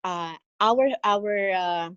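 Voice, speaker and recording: A woman from the Philippines with a Filipino accent, an exchange teacher giving an earnest, encouraging talk in an online meeting, pausing often. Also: medium pitch, fairly bright tone, clear, resonant tone, dry recording, muffled recording. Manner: earnest and encouraging, with frequent pauses and fillers. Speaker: exchange teacher sharing her experience. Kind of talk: motivational presentation in an online meeting. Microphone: video call.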